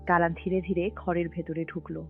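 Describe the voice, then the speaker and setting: Woman narrator, lowish voice, even storytelling, music behind. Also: fairly quick, phone-quality sound. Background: background music.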